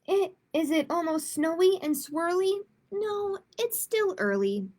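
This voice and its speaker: A woman's voice: extremely high pitch, animated, unhurried, a little mellow. A woman hosting a children's storytime, reading picture books aloud expressively and adding simple chatty asides with little check-in questions. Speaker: library storytime host.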